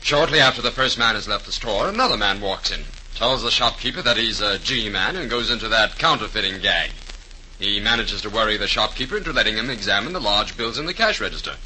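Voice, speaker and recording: A confident police detective in a vintage 1930s radio drama. He speaks briskly and with authority in a very bright, penetrating voice, over faint recording hiss. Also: on the low side, animated, rough recording. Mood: assured, explaining. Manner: brisk, assured, tough-talking explainer. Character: confident, no-nonsense male police detective sergeant.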